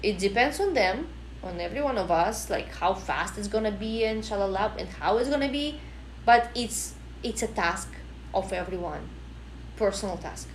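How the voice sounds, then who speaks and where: A woman's voice: medium pitch, expressive, normal speed, roomy sound, slight background noise, poor audio. A woman from Russia in her thirties, speaking English with a Russian accent in a rambling, casual livestream. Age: 30s. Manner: non-native English, rambling. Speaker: livestream host.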